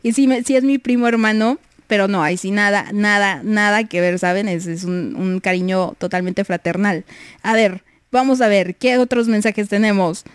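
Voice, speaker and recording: A woman's voice: medium pitch, moderate pace, clear, resonant tone, dry recording. A young Mexican woman hosting a livestream, chatting casually, reading messages and giggling often. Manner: giggly, chatty, many fillers. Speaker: livestream host.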